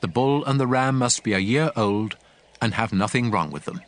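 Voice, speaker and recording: A man's voice: mid-range voice, normal speed, lightly acted. An actor playing a commanding male prophet, solemn and declamatory, instructing his priests in a dramatized scripture reading. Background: music.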